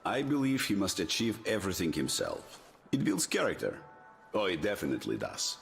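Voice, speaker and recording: An actor playing a middle-aged military commander in a game drama, chummy and persuasive but ruthless and used to giving orders. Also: male voice, sits low, huge pitch swings, a little fast, gravelly, soft background music, exaggerated voice. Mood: proud. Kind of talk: dramatic video game cutscene acting.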